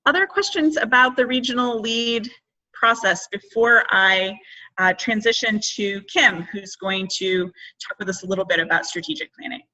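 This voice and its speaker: A woman leads a group conference call in a bright, projected voice. Her wording is formal and deliberate, with halting phrases as she works through each point. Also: mid-range voice, rough recording. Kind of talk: formal organizational meeting talk.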